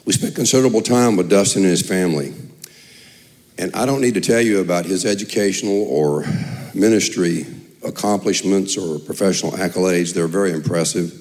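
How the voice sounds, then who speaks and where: A man with a raspy voice, speaking earnestly and from the heart as elder chairman addressing a church congregation. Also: deep voice, fairly quick, a little mellow. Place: church sanctuary.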